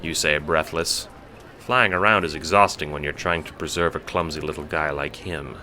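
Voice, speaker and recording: Brief: male audiobook narrator, deep and bright-edged, wry, dramatic delivery of a scripted story. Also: fairly even intonation, moderate pace, slight background noise.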